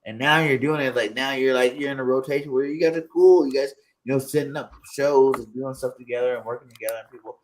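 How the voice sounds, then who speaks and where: A man's voice: mid-range voice, steady tone, fast talker, hardly any pauses, low-quality recording. A man who is a guest on an interview show, talking casually and animatedly with lots of "you know what I'm saying" asides. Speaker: guest content creator.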